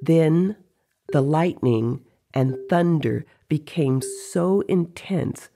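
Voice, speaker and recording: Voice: medium pitch, very slow, warm tone. A reader voicing a teasing male sorcerer who tells tales of his dreams in an audio story. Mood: storytelling.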